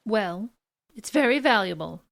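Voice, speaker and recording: A woman's voice: medium pitch, animated, measured pace, creaky, a bit bright. An actress playing a haughty, indignant society woman with an imperious, affronted manner in an audio comedy drama. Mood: haughty, defensive.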